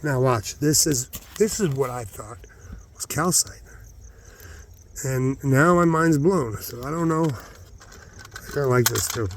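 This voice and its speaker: A middle-aged man chatting informally to viewers in a rambling vlog, halting as he thinks aloud and repeating words for emphasis. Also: mid-range voice, a little hiss or hum. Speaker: vlogger, hobby rockhound.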